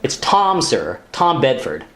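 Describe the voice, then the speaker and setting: A man's voice: mid-range voice, huge pitch swings, slow pace, fairly bright tone, full character voice. A man playing an earnest, deferential junior lawyer, easily exasperated, in a radio sitcom. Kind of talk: sitcom-style radio play dialogue.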